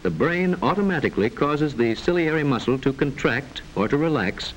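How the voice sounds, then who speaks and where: A man narrating a mid-century educational film in a formal, measured, didactic way with precise enunciation, on an old film soundtrack. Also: mid-range voice, animated, a little hiss or hum, low-quality recording.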